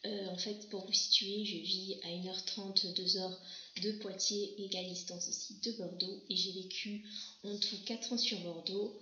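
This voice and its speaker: A woman in her thirties from southwest France, chatting casually about herself in a vlog and pausing often on fillers. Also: mid-range voice, steady tone, pushed and bright, echoey room, muffled recording. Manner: hesitant, many pauses and "euh" fillers. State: pregnant. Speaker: vlogger. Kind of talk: casual self-introduction vlog.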